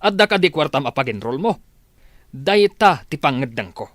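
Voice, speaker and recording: A man's voice: mid-range voice, very animated, normal speed, a bit bright, dry recording, clean studio sound. A man playing an exasperated farmer father in a radio drama, scolding emphatically.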